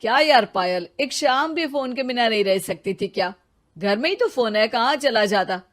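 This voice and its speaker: Lady playing young husband in a cartoon, mild, always trying to calm everyone down. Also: a little high, moderate pace, natural voice. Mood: mildly annoyed. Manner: placating, reasoning. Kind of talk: cartoon story character voice.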